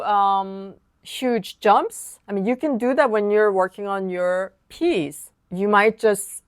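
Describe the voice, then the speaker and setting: A woman whose first language is Korean, a piano teacher explaining practice tips in a calm, casual lesson. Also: medium pitch, dry recording, studio quality. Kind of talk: online lesson talk.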